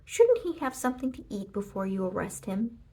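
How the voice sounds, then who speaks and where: A woman voicing a kindly, concerned married lady in a children's storybook, with a gentle manner. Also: medium pitch, sing-song, normal speed, breathy edge.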